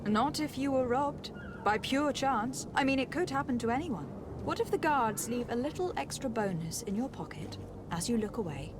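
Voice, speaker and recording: A woman's voice: fairly high pitch, lively intonation, moderate pace, background noise, lightly acted. An actress playing a poised, commanding noblewoman diplomat in a video game, speaking formally and articulately. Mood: shrewd, persuasive. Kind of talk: scripted video game dialogue. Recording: music behind the voice.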